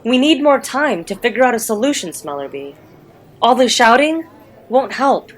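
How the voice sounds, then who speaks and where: A woman playing an earnest, idealistic young boy hero in an animated comic dub. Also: a little high, normal speed. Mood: conciliatory.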